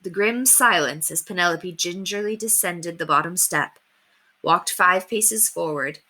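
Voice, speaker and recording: A woman reads a children's novel aloud with dramatic, deliberate phrasing. Her tone is bright and her pitch moves in a very animated way. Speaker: storybook reader. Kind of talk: expressive scripted narration of a children's novel.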